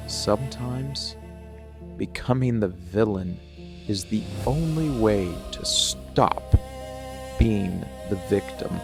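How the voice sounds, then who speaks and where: Man performing a very slow, gravelly brooding villain. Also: fairly low pitch. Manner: deliberate, dramatic pauses, intense and measured. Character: brooding dark fantasy villain, hardened, defiant, wounded man. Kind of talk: dark ASMR villain monologue, theatrical and motivational.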